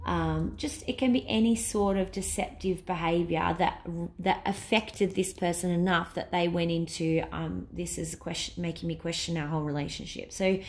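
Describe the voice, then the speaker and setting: A woman reading tarot cards in a casual way while losing her voice to a cold, thinking aloud with many pauses and fillers and now and then dropping to a whisper. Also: lowish voice, steady tone. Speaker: tarot reader.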